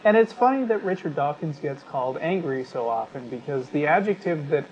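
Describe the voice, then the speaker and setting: A man hosting a talk show, giving conversational commentary in a halting way, as if thinking aloud. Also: a little high, lively intonation, a little mellow. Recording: muffled lo-fi audio with background noise.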